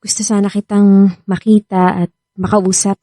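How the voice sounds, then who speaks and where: A woman's voice: mid-range voice, level tone, normal speed, warm tone, no echo, clean studio sound, close to an everyday voice. An actress playing a remorseful young woman in tears with a Filipino accent in a radio drama. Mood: tearful, hesitant. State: crying. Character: tearful, remorseful young woman begging an ex back.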